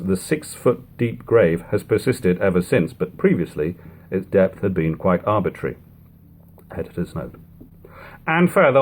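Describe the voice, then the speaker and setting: A man reading an archaic book aloud as narrator, in a formal, measured manner with announced headings. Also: mid-range voice, husky. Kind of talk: audiobook-style reading of an archaic text.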